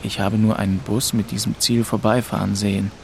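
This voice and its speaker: A man's voice: on the low side, flat delivery, a little fast, vocal fry, noisy background. An actor playing a weary, bitter young man who narrates his own story in an audio drama. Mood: subdued narration. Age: young adult.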